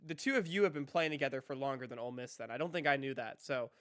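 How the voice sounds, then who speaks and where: A man's voice: medium pitch, fast, a bit bright, dry recording, clean studio sound. A man co-hosting an esports podcast, enthusiastic and upbeat as he sets up questions on a video call. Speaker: podcast co-host. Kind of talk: casual interview.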